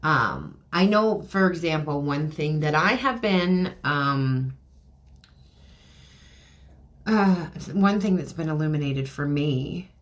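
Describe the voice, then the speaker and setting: A middle-aged woman hosting a casual spiritual podcast, reading oracle cards, chatty with many ums and laughs, a little hoarse as she is losing her voice. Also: low-pitched, slow. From Canada. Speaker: podcast host and card reader.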